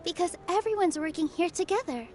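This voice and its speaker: High-voiced, sweet young dancer. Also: female voice, expressive, fairly quick, darkish tone, a little hiss or hum. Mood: warm, earnest. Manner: sweet, courteous, earnest. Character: gentle, polite, cheerful young female dancer. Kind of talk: game voice acting.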